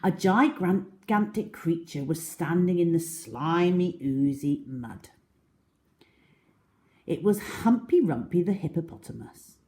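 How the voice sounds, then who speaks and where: A woman reading to children like a primary teacher, expressive, with deliberate emphasis. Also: fairly low pitch, reverberant. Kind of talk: children's storytelling, expressive narration.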